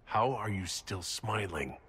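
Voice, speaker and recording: A man's voice: lowish voice, animated, unhurried, husky, heavily acted. A man playing a serious, resolute leader of an inquisition in a video game, speaking earnestly and inquiringly. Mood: concerned. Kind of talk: cinematic video game dialogue. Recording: loud ambient noise behind voice.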